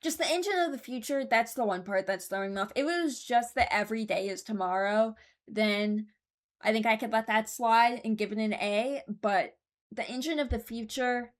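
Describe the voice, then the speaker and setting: A woman's voice: on the high side, moderate pace. A woman giving casual, rambling commentary as a host, thinking aloud as she goes. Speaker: host ranking game voice lines.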